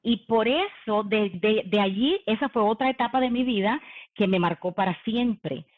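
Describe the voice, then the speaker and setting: A woman's voice: on the high side, expressive, normal speed. Dominican-born woman in her forties. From Dominican Republic. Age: 40s. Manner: warm conversational, frequent "em" and "eh" fillers. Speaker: company executive giving a leadership talk. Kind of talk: personal storytelling talk. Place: video call. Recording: phone-like online meeting audio.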